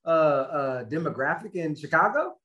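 A man's voice: fairly high pitch, animated, moderate pace, bright, forceful tone. A man co-hosting a podcast in a loose, joking, self-deprecating way. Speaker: podcast co-host. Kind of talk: casual podcast chat.

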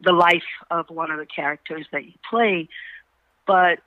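A woman, a stage actor being interviewed, talking reflectively and searching for words in a measured way. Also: lowish voice, fairly even intonation, no room sound. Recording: dull, band-limited call audio.

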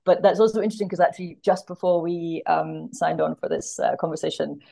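A woman's voice: on the low side, a little fast, vocal fry, mellow. A woman guest talking thoughtfully about literature in conversation, hesitant and correcting herself as she thinks aloud. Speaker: podcast guest, literary translator.

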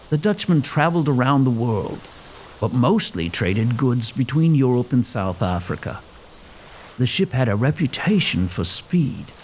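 Male narrator for gothic audio drama, lively swings in pitch, dramatic storytelling, faint noise behind.

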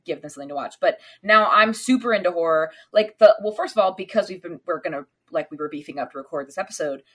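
A woman's voice: lowish voice, fast, fairly bright tone, breathy edge. A young woman co-hosting a casual film podcast, easygoing and often laughing. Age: young adult. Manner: giggly, easygoing. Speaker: podcast co-host.

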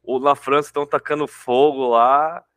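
A man's voice: medium pitch, steady tone, moderate pace, a bit bright, clear, resonant tone, no echo, studio quality. A man from Brazil with a Brazilian Portuguese accent, hosting a podcast interview in an informal, joking way with colloquial asides. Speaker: podcast host. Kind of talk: casual interview conversation.

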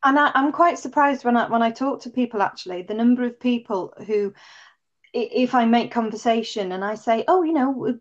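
A woman interviewer with a croaky voice, asking chatty, thoughtful questions. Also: fairly high pitch, brisk, highs cut off. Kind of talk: conversational interview.

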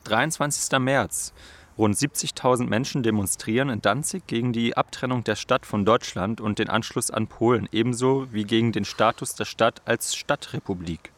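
A man's voice: mid-range voice, a bit bright. A young German-speaking man hosting a casual quiz among friends, reading historical facts aloud with slips and restarts. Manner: halting, reads with slips and restarts. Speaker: quiz host.

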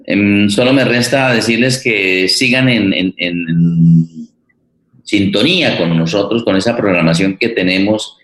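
An older man with a Colombian accent hosting an unscripted event, haltingly searching for his words. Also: on the low side, a bit bright. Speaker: host and theatre director. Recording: roomy, echoing voice pickup.

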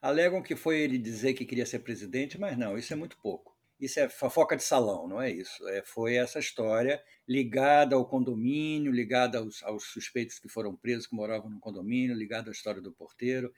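A man hosting a casual podcast chat, animated and quick to laugh. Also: medium pitch. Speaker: podcast host.

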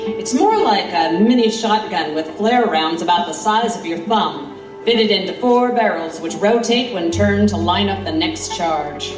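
A woman's voice: mid-range voice, expressive, a little slow, close to an everyday voice. A woman playing an intrepid reporter who recounts her adventure in wry, vivid audio drama narration. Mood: wry, reflective. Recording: roomy, slightly distant over music.

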